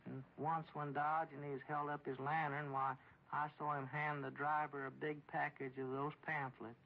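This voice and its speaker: A man's voice: fairly high pitch, level tone, normal speed, vocal fry. An actor playing a meek, simple, dutiful small-town man with a rural Southern accent, reporting earnestly and hesitantly in a 1940s radio comedy. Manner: deferential, literal, hesitant. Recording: muffled old radio recording.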